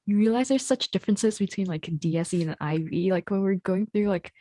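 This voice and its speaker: A woman's voice: mid-range voice, fairly quick, mellow, dry recording. A young woman co-hosting a podcast in casual, conversational speech. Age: young adult. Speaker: podcast co-host. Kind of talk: casual chat.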